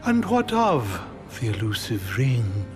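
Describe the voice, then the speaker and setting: An aged, grandiose emperor who covets power. He draws out each phrase extremely slowly with swooping, theatrical intonation, imperious in a fantasy game, with music behind him. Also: medium pitch, wildly swooping pitch. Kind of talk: video game cutscene acting.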